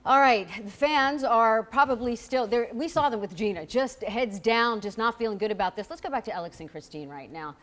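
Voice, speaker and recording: A woman's voice: fairly high pitch, animated, brisk, hardly any pauses, a bit bright. Woman hosting a live sports panel. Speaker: sports show host.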